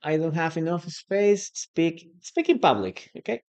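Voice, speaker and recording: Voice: mid-range voice, very animated, a little slow, darkish tone. A native Spanish-speaking English teacher from Peru with a Peruvian accent, leading a live online class and patiently repeating key phrases.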